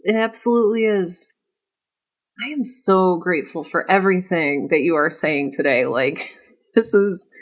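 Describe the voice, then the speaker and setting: A woman with a voice a little on the low side hosts a casual interview. She talks in an effusive, emphatic style, leaning on key words as she converses. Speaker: podcast host, interviewer.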